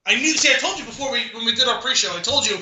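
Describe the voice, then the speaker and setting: A man's voice: extremely high pitch, animated, very fast, bright, forceful tone, slight background noise. A man co-hosting a podcast, chatting casually about movies in conversational phrases. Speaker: podcast co-host. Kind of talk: casual movie review chat. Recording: echoey, roomy sound.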